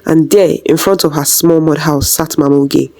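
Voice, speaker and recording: A woman's voice: deep voice, steady tone, normal speed, a little mellow. A woman narrating a scripted folktale as a storyteller.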